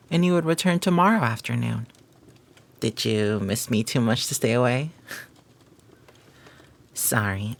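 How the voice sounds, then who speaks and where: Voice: mid-range voice, creaky. A performer playing a flirty, devoted maid who teases and gossips in formal, flowery phrasing, in a scripted romantic audio roleplay. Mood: affectionate, teasing.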